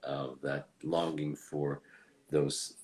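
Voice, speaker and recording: A man, a voice actor guest, talking casually with frequent pauses, fillers and restarts. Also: very deep voice, little pitch movement, a little mellow. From United States. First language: English. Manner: hesitant, many fillers and restarts. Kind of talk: casual conversational interview.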